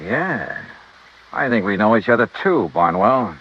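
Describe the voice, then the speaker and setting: An actor playing a tough, driven insurance investigator in an old radio detective drama, with a clipped, forceful delivery. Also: male voice, lowish voice, big pitch swings, unhurried. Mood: suspicious, hard. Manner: clipped, hard-boiled, forceful. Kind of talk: 1950s radio detective acting with first-person narration. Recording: muffled, noisy vintage radio recording.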